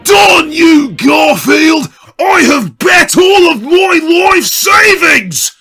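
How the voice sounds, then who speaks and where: High-pitched blustering bar thug. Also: male voice, sing-song, drawn-out words, soft background music, heavily acted. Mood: furious. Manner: shrill, strained, shouted threats. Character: hot-headed, blustering male bar hooligan thug.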